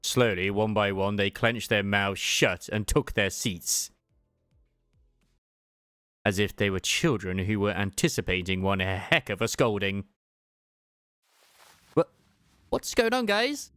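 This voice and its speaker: A man reading a game story as dramatized first-person narration, with expressive, bewildered exclamations. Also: fairly low pitch, slow pace, pauses often, clear, resonant tone, no echo, faint music behind. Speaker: fan dubber and game reader.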